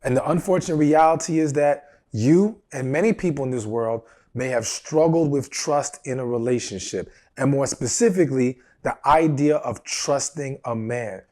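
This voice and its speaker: A man in his thirties giving dating advice straight to his viewers, his voice a little rough from a cold, earnest and emphatic. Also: medium pitch, normal speed, few pauses, dark tone. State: recovering from a cold. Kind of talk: direct-to-camera advice talk.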